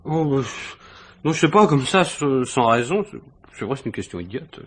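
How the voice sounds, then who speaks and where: Awkward civil servant, 1950s radio drama: stammering, polite, quietly probing, rough-edged mid-range voice. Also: male voice, mid-range voice, sing-song, moderate pace. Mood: flustered, apologetic. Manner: hesitant, stammering, apologetic, politely inquisitive. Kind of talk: 1950s French radio mystery acting.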